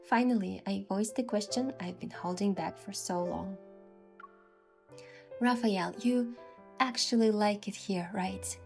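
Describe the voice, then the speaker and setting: A woman reading scripted first-person story narration as the heroine, with a gentle, sentimental delivery. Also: mid-range voice, a little slow, creaky, faint music behind.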